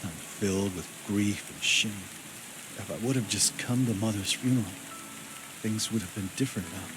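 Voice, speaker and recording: A low-voiced, brooding man in mourning played by a male actor, weighed down by guilt, speaks somber, measured video game dialogue. Steady rain noise runs clearly behind him. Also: close to an everyday voice. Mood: grief, regret.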